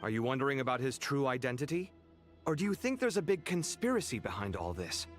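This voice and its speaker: A man playing an earnest, sociable young scholar in a video game, with an expressive delivery. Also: mid-range voice, fast, soft background music, lightly acted. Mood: friendly, curious. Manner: animated, earnest. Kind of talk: English video game voice acting.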